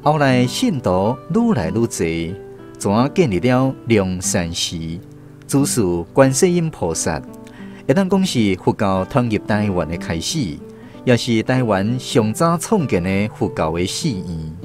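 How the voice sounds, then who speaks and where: A man with a Taiwanese Hokkien accent narrating a scripted radio story in an expressive storyteller's style. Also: on the low side, measured pace, soft background music.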